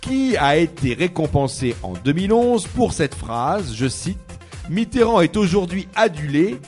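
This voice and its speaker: High-pitched man hosting a radio quiz. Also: big pitch swings, measured pace, a little mellow, soft background music. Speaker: radio show host reading quiz questions.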